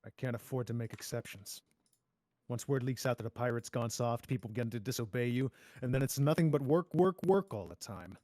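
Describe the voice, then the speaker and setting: Man as a masked pirate, cynical, sardonic, polite menace, medium pitch, fairy-tale table read. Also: brisk, a little mellow, no echo, studio quality, lightly acted. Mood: cynical, taunting.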